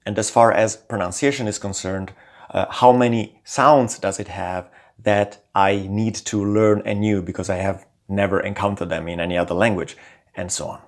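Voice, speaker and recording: Lower-voiced man. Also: normal speed. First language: Italian. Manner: lively, shifting intonation with frequent small fillers. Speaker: language-learning channel host. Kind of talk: scripted talk to camera.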